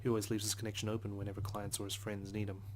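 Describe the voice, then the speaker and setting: A man reading visual novel narration aloud as a let's play host, subdued, with hushed asides. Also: fairly low pitch, completely flat delivery, racing, a little hiss or hum. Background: soft guitar music.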